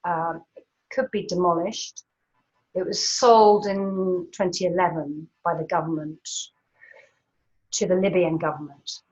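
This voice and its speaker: Woman, 50s, art historian: relaxed slide lecture, somewhat low pitch, muffled online sound. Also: measured pace. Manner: chatty, many ums, light laughs. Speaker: art historian giving a talk. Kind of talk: informal illustrated lecture over slides.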